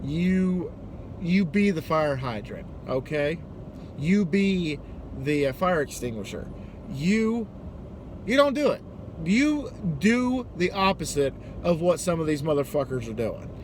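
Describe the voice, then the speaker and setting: Higher-pitched American man telling a story, noisy background. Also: very animated, slow. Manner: hesitant, sweary, acting out dialogue. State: still worked up. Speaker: storytelling vlogger and delivery driver. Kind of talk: casual personal storytelling. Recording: noisy, car-like ambient background noise.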